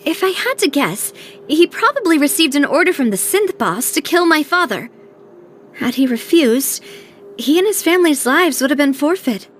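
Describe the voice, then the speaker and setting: A woman playing a poised, resolute young investigator in a video game, speaking in a deliberate, thoughtful way. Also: high voice, very animated, soft background music. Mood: pondering, analytical. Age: young adult.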